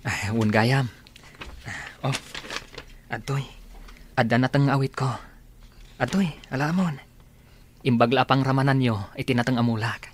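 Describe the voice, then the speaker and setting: An actor playing a meek, gentle young suitor with an Ilocano accent, pleading in a radio drama. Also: male voice, mid-range voice, steady tone, measured pace, long pauses, slight background noise, natural voice. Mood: friendly, hopeful. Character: meek, hurt, lovestruck young male suitor. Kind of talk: Filipino radio drama acting.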